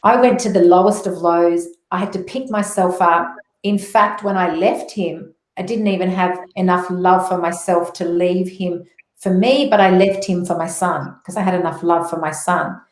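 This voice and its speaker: A woman's voice: fairly low pitch, steady tone, normal speed. A middle-aged woman with an Australian accent giving personal spiritual testimony as an interview guest, telling it earnestly and with emphasis. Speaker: interview guest, motivational speaker. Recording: roomy echo on voice.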